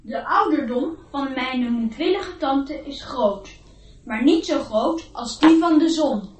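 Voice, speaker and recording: A meek, high-pitched schoolboy in a radio drama. The voice is set back from the mic in an echoey room, dutifully answering like a pupil. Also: animated, slow. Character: meek young schoolboy answering his teacher. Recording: echoey classroom sound, far from mic.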